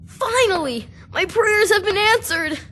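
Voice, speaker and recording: A woman's voice: extremely high pitch, lively intonation, measured pace, a bit bright, heavily acted. A woman playing a troubled, vengeful orphan boy in a fantasy video game, with earnest, intense childlike pleading. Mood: excited relief. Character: fervent, troubled orphan boy seeking revenge.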